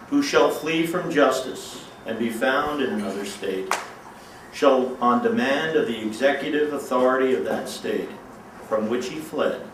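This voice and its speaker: A man with a medium-pitched voice gives a formal reading of legal text. He is some way from the mic in an echoey room with faint noise. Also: far from the mic. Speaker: judge reading aloud. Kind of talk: formal read-aloud of a legal document. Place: reverberant room at a public event.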